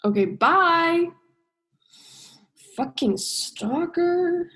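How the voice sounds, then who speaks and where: A woman's voice: very high voice, lively intonation, very slow, fairly bright tone, full character voice. A woman drama student acting a bitter, sarcastic young female scientist in a radio play, sardonic and emphatic, stretching stressed words. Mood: mocking. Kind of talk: radio play monologue and dialogue.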